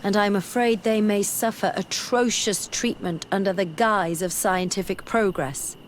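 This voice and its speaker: An actress playing an earnest, bookish young female scholar in a video game, speaking in a measured, articulate way. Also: medium pitch, a little hiss or hum, close to an everyday voice. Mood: troubled, ashamed. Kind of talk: video game dialogue acting. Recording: music behind the voice.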